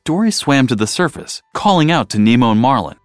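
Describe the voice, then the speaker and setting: A man reading a children's storybook aloud as narrator, in an expressive style, close to the mic. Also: medium pitch, a little fast, dry recording, faint music behind.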